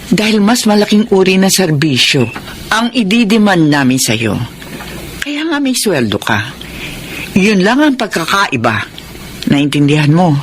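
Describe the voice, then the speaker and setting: A woman's voice: medium pitch, big pitch swings, slight background noise. Firm, kindly Filipino lady employer. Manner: instructive, measured, big-sisterly authority. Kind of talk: Tagalog radio drama acting.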